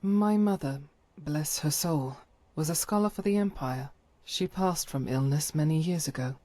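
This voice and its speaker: A woman playing a devout, stern holy warrior in a video game, speaking in solemn, formal, measured phrases. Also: deep voice, warm tone, no echo. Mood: reflective, earnest. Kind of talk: scripted video game character dialogue.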